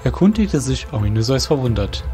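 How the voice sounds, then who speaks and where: A male narrator reads a scripted fantasy tale. His voice is of average pitch, and soft music runs behind it. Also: sing-song, brisk.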